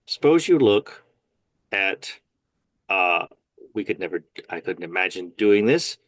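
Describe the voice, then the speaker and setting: A man's voice: low-pitched, very animated, a little slow, no room sound. A man speaking as a guest in a casual faith conversation, earnest and emphatic, often agreeing firmly. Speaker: guest, nurse.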